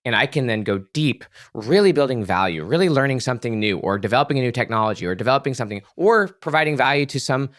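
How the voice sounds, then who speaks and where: Male podcast guest, average pitch, conversational expert explainer, earnest and emphatic, dry sound. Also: animated, fast talker, few pauses, fairly bright tone, no echo. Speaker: podcast guest, author and psychologist.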